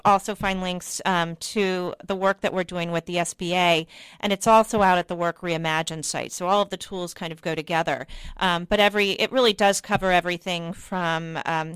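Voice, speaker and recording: A woman's voice: lowish voice, a bit bright, clear, resonant tone, no echo, rough recording. A woman, a state director of an advocacy group, explaining programs as a radio guest in an earnest way with many ums.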